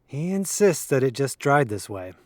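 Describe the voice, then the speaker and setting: A man's voice: mid-range voice, very animated, normal speed, dry recording, clean studio sound, natural voice. A man playing a bookish young man in an audio drama, talking in an articulate, matter-of-fact way. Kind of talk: scripted audio drama, conversational.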